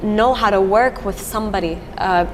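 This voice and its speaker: A woman's voice: mid-range voice, moderate pace. A woman whose first language is French, a singer-songwriter answering interview questions chattily, with many restarts. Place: event venue. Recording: echoey hall with event noise.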